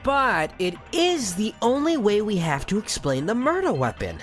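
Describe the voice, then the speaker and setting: Woman acting sardonic young woman, very animated pitch. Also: moderate pace, faint music behind, close to an everyday voice. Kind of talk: audio drama acting.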